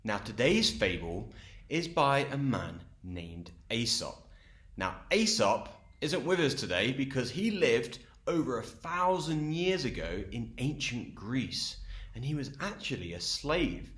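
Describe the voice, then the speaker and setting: Slow, animated male children's storyteller. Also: medium pitch, husky. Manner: expressive, explanatory. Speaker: storyteller, host. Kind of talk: children's story read-aloud.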